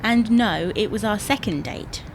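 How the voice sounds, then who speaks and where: A British actress playing an exasperated middle-aged wife in a domestic audio sitcom, with dry, bossy, gently teasing delivery. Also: female voice, medium pitch, unhurried, a little hiss or hum, lightly acted, rough recording. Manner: dry, scolding, sarcastic asides.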